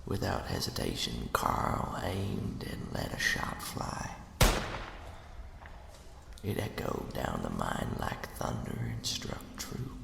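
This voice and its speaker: A man voicing the sinister ghost of a murdered henchman, telling a spooky tale in a hushed, folksy, menacing way for an ASMR roleplay. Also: rumbling low, huge pitch swings, slow pace, heavily acted. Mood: ominous storytelling. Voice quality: breathy, gravelly whisper. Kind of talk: ASMR roleplay, scary storytelling. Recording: reverberant, cavernous echo.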